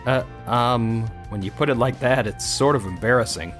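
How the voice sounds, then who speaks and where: A man's voice: mid-range voice, normal speed, fairly bright tone, soft background music, natural voice. A man playing an earnest, modest young office worker in a manga dub, with a sincere, humble manner. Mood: moved, bashful. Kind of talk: manga dub narration and dialogue.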